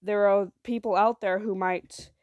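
Storybook dad, woman performer: wary and serious, measured delivery with a dry, sardonic edge. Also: medium pitch, fairly even intonation, a little mellow, dry recording, close to an everyday voice. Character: cautious, dry-humoured father.

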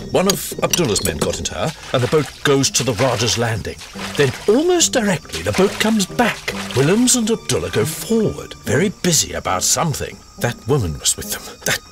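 An actor playing an anxious, bitter colonial trader who talks in an indignant, self-pitying way. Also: male voice, medium pitch, lightly acted. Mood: recounting. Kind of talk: full-cast audio drama acting. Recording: studio audio drama with music bed.

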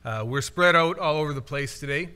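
Bright-voiced man speaking from a pulpit. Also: on the high side, fairly quick, clear, resonant tone. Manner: conversational, many "uh" fillers, gestures with hands. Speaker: missionary speaker. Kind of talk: informal missionary report from the pulpit. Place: church sanctuary at a wooden pulpit. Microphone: pulpit microphone, far camera.

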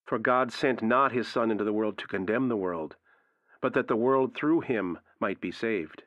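A man's voice: fairly low pitch, normal speed, dry recording, lightly acted. An actor playing a fervent radio preacher in a drama, emphatic and declamatory as he delivers a sermon. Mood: fervent, exhorting. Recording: dull, dry radio-like sound.

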